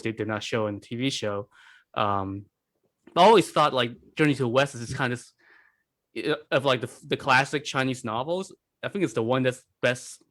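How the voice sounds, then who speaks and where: A man speaks as a panelist in a casual podcast discussion. His voice sits at a middle pitch. He feels his way through sentences in stops and starts, leaning on "like" and "you know.". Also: a bit bright. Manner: halting, peppered with "like" and "you know".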